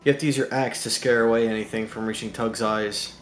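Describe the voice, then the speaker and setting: Mid-pitched man co-streaming a story game. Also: fairly even intonation, quick pace. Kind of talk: casual replies and narration read aloud.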